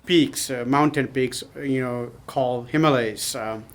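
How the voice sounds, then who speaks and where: A man's voice: medium pitch, a little slow. A man from Nepal, answering interview questions hesitantly with many ums and uhs. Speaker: podcast guest. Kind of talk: casual interview.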